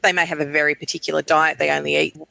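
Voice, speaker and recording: Australian woman with a low-level creak, bright. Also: level tone, very fast, dry recording, poor audio. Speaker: bird program manager, expert panel guest. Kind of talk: explanatory panel discussion.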